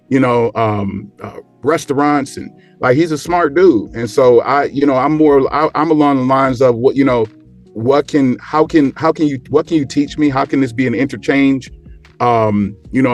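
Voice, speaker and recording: A man chatting casually in a group, informal and often halting as he searches for his words. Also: mid-range voice. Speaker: show guest. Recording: background music under the voice.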